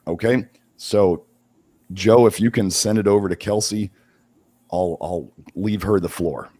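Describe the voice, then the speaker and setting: Hoarse, low-voiced man hosting a livestream. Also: warm tone, no echo. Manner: halting, many restarts. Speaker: host. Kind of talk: casual livestream talk.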